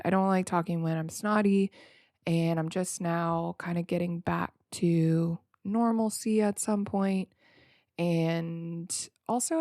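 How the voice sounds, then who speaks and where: Female solo podcast host: gravelly fry, slightly nasal, reflective freestyle rambling, very dry close sound. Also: fairly low pitch, mellow, dry recording. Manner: informal, reflective, halting and rambling with repeated words.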